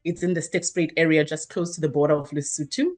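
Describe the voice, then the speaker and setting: Woman, thirties, low voice; earnest interview guest who talks at length with fillers. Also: steady tone, quick pace, darkish tone, faint music behind. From South Africa. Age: 30s. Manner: earnest, expansive, many fillers and restarts. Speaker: guest, activist. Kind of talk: interview answers.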